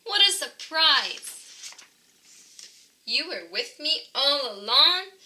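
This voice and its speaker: A woman voicing an excited, curious cat in a children's storybook, in an eager, wondering manner. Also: high voice, very slow, slight background noise, voice pushed up, heavily acted. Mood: delighted surprise. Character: excited, curious cartoon cat on a diving adventure.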